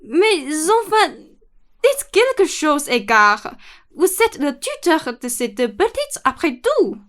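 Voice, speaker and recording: Capricious rich old dowager played by a woman, high and slow-paced, imperious, theatrical radio comedy. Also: big pitch swings, a bit bright, full character voice. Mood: agitated, imperious. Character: bossy, capricious, bored rich old lady. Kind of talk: stage-comedy radio play acting in French.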